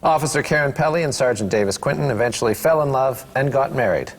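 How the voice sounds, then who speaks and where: A man's voice: mid-range voice, lively intonation, quick pace. Man narrating in a reverberant hall. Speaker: script narrator. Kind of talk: reading stage directions at table read. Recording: long hall reverb, faint room noise.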